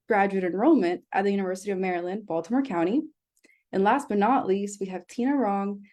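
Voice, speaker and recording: A woman's voice: mid-range voice, warm tone. A woman from El Salvador moderating a live online session, reading upbeat scripted introductions. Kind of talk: live webinar hosting.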